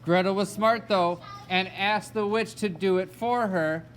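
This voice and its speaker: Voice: mid-range voice, a little slow, slight background noise. A storyteller narrating a fairy tale for a children's puppet show. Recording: loud music alongside the voice. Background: music.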